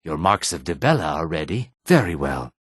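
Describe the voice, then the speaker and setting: A man playing a courteous, weary and dignified reptilian merchant in a fantasy video game, speaking formally in a throaty rasp. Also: extremely low pitch, sing-song, a bit bright, dry recording, clean studio sound, full character voice. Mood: polite. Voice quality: raspy, husky, throaty. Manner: polite, formal, measured. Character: courteous male Argonian lizard-folk merchant, weary and dignified.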